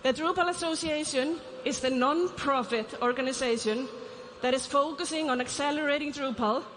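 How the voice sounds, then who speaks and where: A woman's voice: sits high, fairly bright tone, a little hiss or hum. A woman from Iceland with an Icelandic accent, giving a conference keynote and asking the audience questions. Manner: asks the audience questions, light asides. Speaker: keynote speaker, CEO. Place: large conference stage. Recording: reverberant hall, faint ambience.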